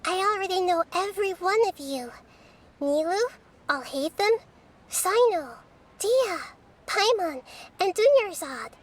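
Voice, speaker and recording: A woman voicing a gentle, wise child goddess in a video game, soft and girlish, speaking in a measured, ceremonious way. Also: very high-pitched, lively intonation. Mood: grateful, solemn. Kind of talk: English video game dub acting.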